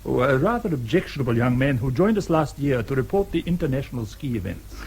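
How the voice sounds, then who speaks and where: An actor playing a grave, courteous German man in a radio drama, speaking formally and deliberately with a German accent. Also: medium pitch, big pitch swings, gravelly, a little mellow, slightly rough, full character voice, low-quality recording. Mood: grave, confiding. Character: courteous, principled German man, grave confidant or consular clerk.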